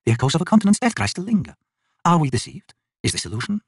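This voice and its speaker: A man voicing a sorrowful, solemn elder goddess with a breathy delivery in a fantasy audiobook. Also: fairly high pitch, very animated, quick pace, dry recording, studio quality, voice pushed up, full character voice. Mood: sombre.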